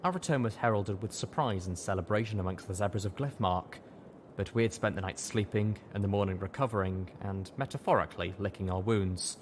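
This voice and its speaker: Low-voiced man narrating a story. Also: a little fast, slight background noise. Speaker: audiobook reader. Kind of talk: first-person fiction narration.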